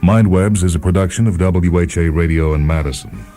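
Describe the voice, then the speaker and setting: A man with an extremely low-pitched American voice narrates fiction in a measured, grave way. His tone is dark and soft-edged, and he places each phrase deliberately. Music plays behind him. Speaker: radio host and story reader. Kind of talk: dramatic scripted short-story narration.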